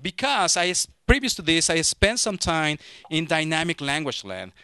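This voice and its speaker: A man in his thirties from Mexico with a Mexican Spanish accent, giving a lively, joking technical talk to a conference audience through a handheld microphone. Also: fairly high pitch, moderate pace, clear, resonant tone, no room sound, studio quality. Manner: lively, joking, frequent restarts. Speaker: conference speaker.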